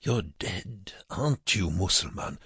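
A man's voice: mid-range voice, lively intonation, a little slow, gravelly, a bit bright, husky, no room sound, clean studio sound, pitched above the performer's own voice, heavily acted. A man voicing a hard, bitterly urgent camp prisoner who goads and taunts, in an audiobook story. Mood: harsh goading. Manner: goading, harsh, taunting.

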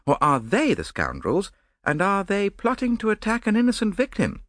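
A man voicing an earnest, romantic gentleman, eager and exclaiming. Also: sits high, wildly swooping pitch, moderate pace, no echo, clean studio sound, voice pushed up, full character voice.

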